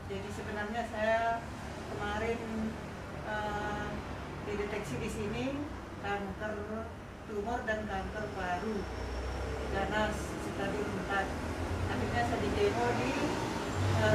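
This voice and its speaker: A woman in her fifties giving an unscripted patient testimonial, hesitant and full of fillers. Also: medium pitch. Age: 50s. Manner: hesitant, many fillers and pauses. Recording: echoey, distant mic, loud noise.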